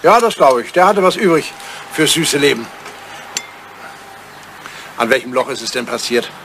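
A man's voice: medium pitch, animated, normal speed, fairly bright tone, a little hiss or hum. An older man acting a lonely, lovelorn English lord in a German radio drama, insistent and theatrical in his phrasing. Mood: probing. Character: wistful old English lord, lonely lovelorn bachelor writer.

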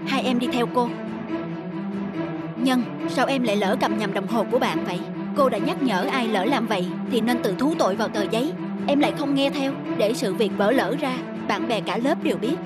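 A woman voicing a gentle, serious schoolteacher in a Vietnamese cartoon, admonishing softly and in a measured way. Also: fairly high pitch, over loud music, close to an everyday voice. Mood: gently reproachful. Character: gentle, serious female schoolteacher, concerned and fair.

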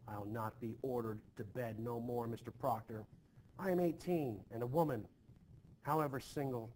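A man voicing an anxious, self-important eighteen-year-old servant girl in an old-fashioned play, with excitable, rustic archaic speech. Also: mid-range voice, moderate pace, slight background noise, close to an everyday voice, low-quality recording. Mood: defiant. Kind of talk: expressive play reading.

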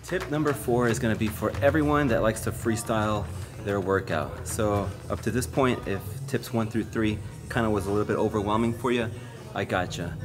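A man in his thirties, a fitness vlogger, talking viewers through tips in a relaxed, explanatory way while filming himself in a large gym. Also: on the low side, moderate pace. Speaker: fitness YouTuber. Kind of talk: casual how-to vlog. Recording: music bed under voice. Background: background music.

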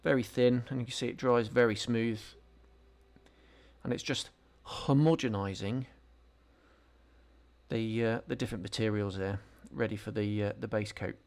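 Man explaining a model build, unhurried. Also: on the low side, darkish tone. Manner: casual, explanatory, frequent ums and restarts. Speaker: scale modelling channel host. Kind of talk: relaxed hobby tutorial voice-over.

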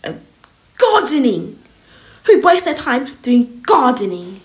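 A woman's voice: a little high, big pitch swings, slow, vocal fry, exaggerated voice. Woman as female schoolteacher, snooping, scornful, muttering, disgusted grunts, amateur school drama.

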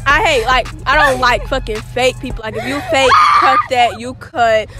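A young woman answering a street interview outdoors, blunt and swearing freely, with loud music behind her. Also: sits high, moderate pace. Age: young adult.

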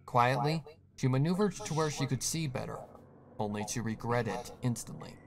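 A man's voice: medium pitch, moderate pace, creaky. A man reading a scripted horror story as the narrator. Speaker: fan fiction narrator.

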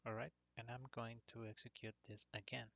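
A man narrating an informal software tutorial in short, hesitant phrases. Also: mid-range voice, flat delivery, a little fast, vocal fry, no echo. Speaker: tutorial presenter. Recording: dull lo-fi computer microphone.

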